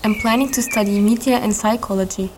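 A woman's voice: medium pitch, fairly even intonation, a little fast, background noise. Friendly teenage girl asking questions. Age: 10s. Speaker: interviewer.

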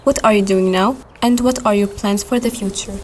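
A woman's voice: medium pitch, steady tone, moderate pace, darkish tone, a little hiss or hum. An eighteen-year-old woman leading a conversation, speaking in a friendly, careful way. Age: 10s. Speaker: interviewer.

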